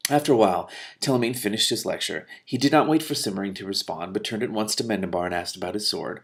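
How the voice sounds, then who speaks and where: Low creaky-voiced man reading aloud. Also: fast talker. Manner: expressive, with spontaneous asides. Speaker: reader commenting on a book. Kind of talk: reading a novel aloud with casual reactions.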